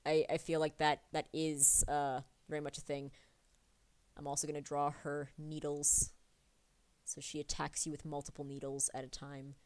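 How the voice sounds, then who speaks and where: A woman's voice: sits low, little pitch movement, normal speed, a little mellow. A woman streaming her drawing session, chatting casually and rambling with many fillers, a little sniffly from a cold. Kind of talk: casual chat while drawing.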